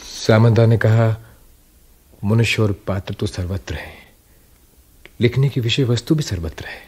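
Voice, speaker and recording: A weary, troubled writer in a radio play. His low voice is very husky and breathy, and he speaks slowly, brooding and full of self-doubt. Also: a little mellow. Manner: brooding, hesitant. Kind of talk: Hindi radio drama acting.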